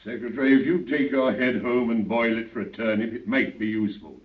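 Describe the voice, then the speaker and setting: An actor playing an imperious, scornful anarchist president with pompous, sarcastic courtesy, in 1930s radio drama style. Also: male voice, medium pitch, fairly even intonation, a little fast, distant mic, close to an everyday voice. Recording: muffled, phone-like old 1930s radio recording.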